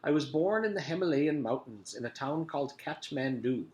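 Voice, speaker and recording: A man voicing a sinister male teacher who steals children's voices, in a raised, smooth put-on voice. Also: fairly high pitch, lively intonation, moderate pace, a little mellow, roomy sound, pitched above the performer's own voice, lightly acted. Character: sinister male voice-thief teacher with three nostrils.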